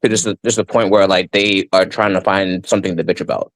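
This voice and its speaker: A man's voice: sits low, fairly even intonation, fast, no echo, low-quality recording. A man from Washington, D.C. with a slight Boston accent, calling in to a show and speaking bluntly with fillers and some swearing. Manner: blunt and profane, hesitant with many fillers. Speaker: caller. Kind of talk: casual call-in chat.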